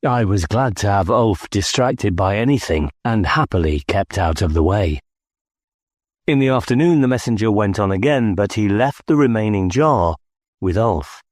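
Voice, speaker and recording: A man's voice: fairly low pitch, expressive, moderate pace, a little mellow, dry recording, studio quality. A man reading scripted first-person story narration as an audiobook narrator.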